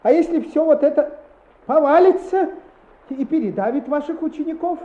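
A woman's voice: high-pitched, moderate pace, mellow, reverberant, dull audio, lightly acted. An actress playing a stuffy, curt, disapproving male school inspector in a radio play. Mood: displeased, officious. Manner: officious, curt.

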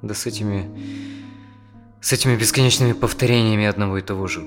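A low-voiced man in his thirties, played by an actor, tense and full of self-doubt. He speaks haltingly, like a worn-down therapy client in a naturalistic audio play, with music playing softly behind him. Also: steady tone, close to an everyday voice. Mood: tired, dejected. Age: 30s. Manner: hesitant, halting, sighing speech. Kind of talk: naturalistic audio drama acting.

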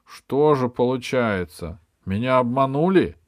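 A man's voice: medium pitch, lively intonation, a little slow, dry recording, voice pushed up, natural voice. Storybook king, played by a male narrator: pompous and fussy, declaiming with smug grandeur, close dry sound. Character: pompous, fussy, capricious old-world king.

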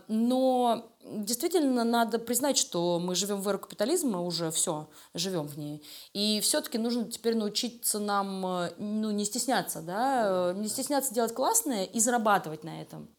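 A woman speaking as an interview guest, a creative director talking informally and emphatically, thinking aloud as she goes. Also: on the high side. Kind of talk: conversational interview talk.

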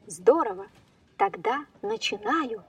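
A woman playing a lively, playful woman turned into a train in a children's fairy-tale podcast. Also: high-pitched, slow, creaky, far from the mic, slight background noise, exaggerated voice. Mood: brisk, eager. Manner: animated, exclamatory, playful.

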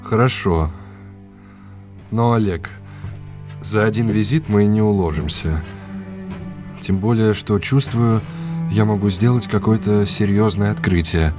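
Casting: man to play self-assured art scholar, low voice, formal and instructive; radio play with clear music underneath. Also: natural voice. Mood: confident, exacting. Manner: brisk, didactic, courteously formal. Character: confident, exacting male art expert and lecturer. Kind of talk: audio drama dialogue.

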